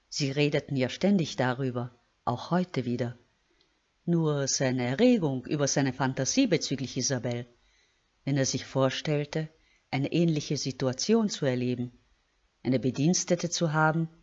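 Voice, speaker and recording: Low-voiced woman narrator, lo-fi. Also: a little slow, darkish tone. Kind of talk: scripted audiobook narration. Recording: dull lo-fi sound.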